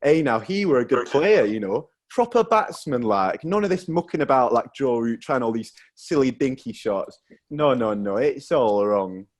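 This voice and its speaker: A man's voice: medium pitch, lively intonation, normal speed, close to an everyday voice. A man playing a blunt, scolding old ex-army granddad with a Yorkshire accent. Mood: grumbling.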